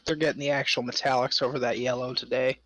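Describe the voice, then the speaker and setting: A man's voice: mid-range voice, little pitch movement, fast talker, fairly bright tone, no echo. A man who plays in a tabletop game, chatting casually with friends. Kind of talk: casual chat.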